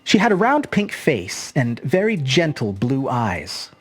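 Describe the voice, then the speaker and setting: A storyteller narrating a scripted short story in an expressive, measured, dramatic manner. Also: mid-range voice, slightly rough, soft background music. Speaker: storyteller, host.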